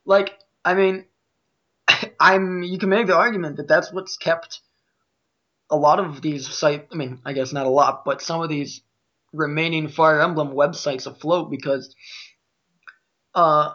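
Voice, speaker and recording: A man with a Midwestern American accent hosts a casual podcast chat. His voice sits a little higher than average. The recording is somewhat dull and lo-fi. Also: steady tone, measured pace, pauses often, pushed and bright. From Midwest United States. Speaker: podcast host.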